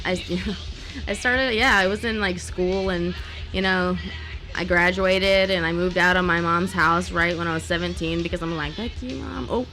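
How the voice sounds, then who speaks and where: A woman's voice: on the low side, moderate pace, faint music behind. A young woman from New Orleans, a podcast guest, chatting casually and telling stories with lots of laughs and swearing. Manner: laughing, swearing freely, animated storytelling. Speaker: podcast guest, singer-songwriter. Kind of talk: casual chat.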